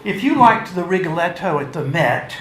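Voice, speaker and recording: A man giving a measured, theatrical and wry reading of literary fiction at a live event. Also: high voice, animated, fairly bright tone. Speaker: author reading aloud. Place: indoor launch event before an audience. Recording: roomy live event, faint noise.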